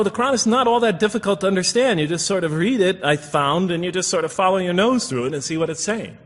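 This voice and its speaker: A man giving a public religious lecture in an explanatory, reflective manner, reciting verses and commenting on them. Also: fairly high pitch. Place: lecture hall before an audience. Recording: echoey hall with long reverb.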